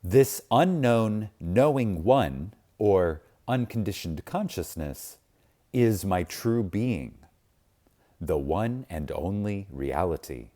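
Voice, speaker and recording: Slow, solemn man with a mellow, low voice. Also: expressive. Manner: solemn, deliberate, with long pauses and emphasis. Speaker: audiobook reader. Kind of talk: reverent, dramatic reading of spiritual text.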